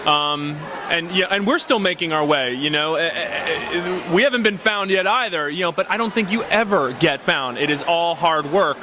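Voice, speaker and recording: A man's voice: on the high side, normal speed, hardly any pauses, noisy background. A male pop singer giving earnest, motivational interview answers.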